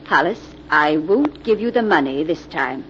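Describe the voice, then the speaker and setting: Rich older widowed auntie, stern but indulgent, deep voice, swoopy delivery, hissy old radio play. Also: female voice, lively intonation, moderate pace. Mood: stern, disappointed. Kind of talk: 1940s radio drama acting. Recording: muffled old radio recording with hiss.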